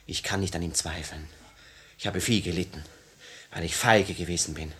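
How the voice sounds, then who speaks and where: A man's voice: sits low, fairly even intonation, normal speed, a bit bright. An actor playing an earnest, remorseful young male revolutionary in a 1950s German-language radio drama, declaiming solemnly and with fervor. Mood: fervent, confessional.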